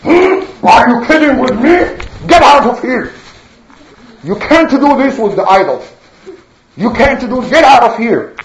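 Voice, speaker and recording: A woman voicing an indignant, pompous male swindler who serves idols, loud, scornful and boastful, in a children's storytelling lesson. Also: fairly high pitch, very animated, a little slow. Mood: scornful, outraged. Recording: echoey room, dull sound, faint noise.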